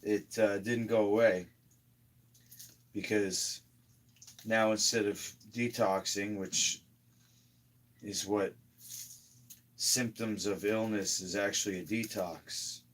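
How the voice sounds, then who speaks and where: A hoarse man talking casually in a slow, rambling health vlog, often trailing off quietly. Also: lowish voice, steady tone. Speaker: health vlogger.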